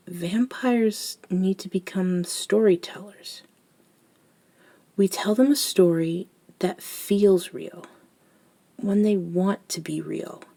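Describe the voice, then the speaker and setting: A woman as a slow, hushed elder vampire mentor. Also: fairly low pitch. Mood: gentle, soothing. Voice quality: soft, breathy, often near a whisper. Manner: slow, soothing, reassuring, with long pauses. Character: gentle elder female vampire mentoring a nervous young one. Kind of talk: soft-spoken ASMR roleplay monologue.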